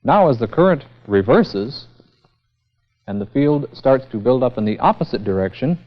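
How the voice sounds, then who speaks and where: A man's voice: medium pitch, animated, moderate pace. A man giving a formal, methodical scripted technical lecture as the instructor in a vintage educational film. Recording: dull, band-limited vintage 16mm film sound.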